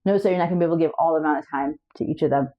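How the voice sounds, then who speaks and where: A woman's voice: deep voice, racing, lo-fi sound. A woman hosting her own channel, talking casually to camera about raising kids with several languages, often restarting words and checking her notes. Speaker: language coach and channel host.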